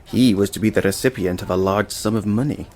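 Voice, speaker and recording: An actor playing a measured, inquisitive Victorian lawyer in a stage drama, formal, deliberate and dryly witty. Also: male voice, on the low side, darkish tone, slight background noise, lightly acted. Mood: thoughtful, intrigued. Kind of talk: theatrical stage drama acting.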